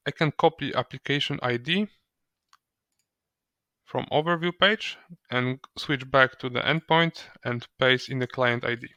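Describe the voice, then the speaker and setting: Man, medium pitch, dry close-mic sound, step-by-step tutorial narration, hesitant with repeated words. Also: bright, forceful tone, clean tone, no echo. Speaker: software trainer.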